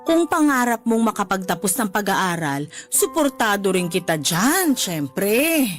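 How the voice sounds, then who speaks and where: A woman playing a loving, middle-aged widowed mother with a Filipino accent in a radio drama, tender, gently teasing and encouraging. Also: medium pitch, sing-song, measured pace, faint music behind. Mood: tender encouragement.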